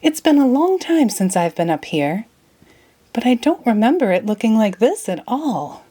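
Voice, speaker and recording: A woman's voice: on the high side, big pitch swings, moderate pace, mellow. A woman voicing a polite, adventurous young girl in a storybook, earnest and exclaiming. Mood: excited, amazed.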